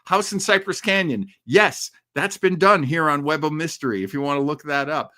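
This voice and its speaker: A man hosting a livestream on classic radio horror, chatting casually and reflectively with listeners, with frequent pauses as he thinks. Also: fairly high pitch, sharp tone. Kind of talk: casual live commentary and chat with listeners.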